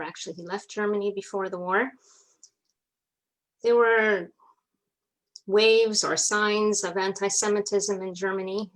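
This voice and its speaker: A woman's voice: mid-range voice, a bit bright, low-quality recording. A woman with a North American accent, speaking as an interview guest and recounting family history in a conversational way. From North America (New York, Atlanta, Montreal). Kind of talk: personal family storytelling in conversation.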